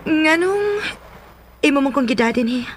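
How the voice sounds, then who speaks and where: A high-voiced, scornful Filipina. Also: female voice, lively intonation, moderate pace, noisy background. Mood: defiant, sardonic. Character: bitter, scornful lovelorn woman, tough and sarcastic. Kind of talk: Philippine radio drama acting.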